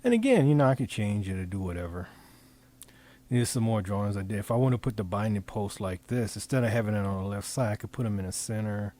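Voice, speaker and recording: A man talking through an informal software demonstration, improvising in a halting way as he thinks aloud and repeats phrases. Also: lowish voice, lively intonation. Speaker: presenter demonstrating design software. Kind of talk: informal screen-recording tutorial, improvised.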